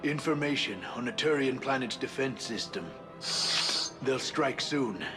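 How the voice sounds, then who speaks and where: An actor playing an anxious but earnest informant on the run, speaking urgently in a video game. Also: male voice, mid-range voice, a little slow, music in the background, natural voice. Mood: weary, earnest. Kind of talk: dramatic game voice acting.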